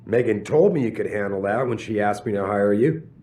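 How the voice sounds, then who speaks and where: An actor playing a proud, evasive middle-aged company boss in a video game, speaking emphatically with drawn-out stresses. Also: male voice, lowish voice, animated, darkish tone, lightly acted. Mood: stern, irritated. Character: commanding, evasive, proud corporate boss man. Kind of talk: video game cutscene acting.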